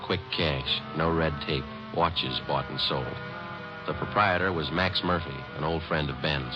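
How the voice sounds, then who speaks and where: An actor playing a terse, matter-of-fact police sergeant who narrates and questions people in a clipped, deadpan way, in an old radio drama. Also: male voice, very deep voice, measured pace, music in the background. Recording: muffled vintage radio broadcast.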